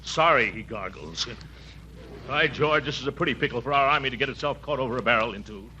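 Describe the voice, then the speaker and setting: Blustery, stubborn uncle, animated and stammering, 1940s radio comedy on a dull, noisy recording. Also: male voice, mid-range voice, fast talker, breathy edge, heavily acted. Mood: flustered, indignant. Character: blustering, pompous, stubborn middle-aged uncle hunting dinner guests. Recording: muffled, noisy old-time radio recording.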